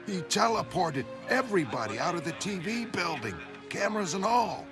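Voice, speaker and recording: A man's voice: sits high, very animated, normal speed. A man voicing a friendly older male character in a motion comic, talking in a chatty, familiar way. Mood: concerned. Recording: music behind the voice.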